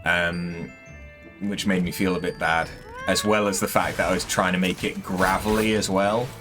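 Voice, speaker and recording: A man with a fairly deep voice giving casual, hesitant let's-play commentary, throat a bit strained from voicing characters. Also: flat delivery, over music. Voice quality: relatively deep voice. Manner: hesitant, many ums, unhurried. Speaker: gaming streamer.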